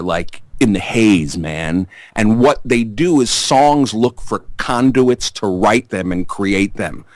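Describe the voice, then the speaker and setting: Deep-voiced chatty New York man. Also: no echo. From Staten Island, New York. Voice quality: deep baritone. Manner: rambling, chatty, many fillers and restarts. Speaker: bass player in a rock band. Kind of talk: casual interview answers.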